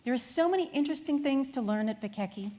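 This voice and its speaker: A woman's voice: high voice, animated, fast, mellow, long reverb tail, pitched above the performer's own voice. A woman voicing a friendly female nature educator in a children's storybook, encouraging and instructive.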